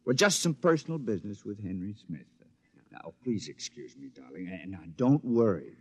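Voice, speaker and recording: A scheming robot husband. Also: male voice, fairly low pitch, very animated, moderate pace, darkish tone. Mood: scheming calm. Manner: smooth, falsely reassuring, then coldly threatening. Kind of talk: 1950s radio acting. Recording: dull 1950s radio recording.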